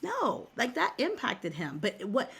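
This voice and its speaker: A woman's voice: on the high side, huge pitch swings, measured pace, gravelly, a bit bright, husky. Emphatic woman coaching on work-life harmony. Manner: emphatic, repeats words for stress. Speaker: career coach, podcast guest. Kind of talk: conversational podcast interview.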